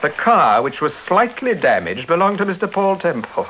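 An actor playing a suave British amateur detective with a clipped, urbane manner in a vintage radio drama. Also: male voice, high-pitched, very animated, moderate pace. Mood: wry, relaxed. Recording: dull, hissy old radio recording.

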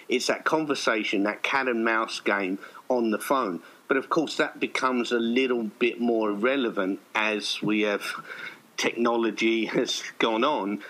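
A man's voice: lowish voice, a bit bright, rough recording. A man speaking conversationally as an interviewed theatre writer and director, explaining things in a rambling way and often restating himself.

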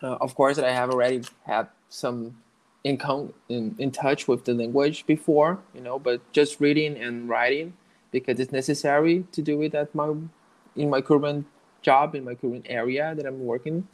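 Brazilian guy, late twenties, Portuguese accent, halting English, lots of "you know", mid-pitched voice. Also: fairly even intonation, poor audio. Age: 20s. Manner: hesitant, many restarts and "you know" fillers. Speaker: guest, network engineer learning English. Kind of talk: casual interview.

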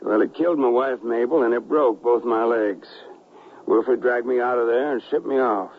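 An actor playing a gruff, stubborn western colonel in a radio drama, with husky, rough frontier speech that halts when he is troubled. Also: mid-range voice, normal speed, a little hiss or hum, close to an everyday voice. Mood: bitter. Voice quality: gravelly, husky. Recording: dull lo-fi radio recording.

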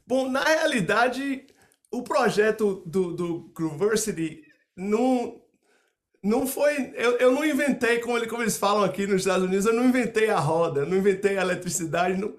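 A man's voice: sits high, lively intonation, brisk. A middle-aged man from Salvador with a Bahian Brazilian accent, answering casually as an interview guest and often repeating words as he restarts. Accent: Brazilian Portuguese (Bahia). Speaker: percussionist guest.

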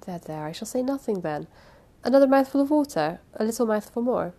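A woman voicing a small, kindly old uncle in an audiobook, speaking in gentle, coaxing, exclamatory phrases. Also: fairly high pitch, animated, a little fast, pitched above the performer's own voice. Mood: soothing. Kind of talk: audiobook character voice.

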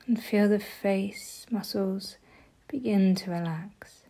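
A woman leading a guided meditation with soft, gentle, often breathy delivery, giving soothing instructions. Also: mid-range voice, slow.

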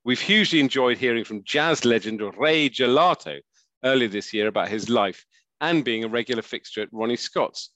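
A man's voice: medium pitch, brisk, pushed and bright, no echo. A man presenting a scripted roundup, introducing the next clip in an upbeat way. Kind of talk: scripted link narration.

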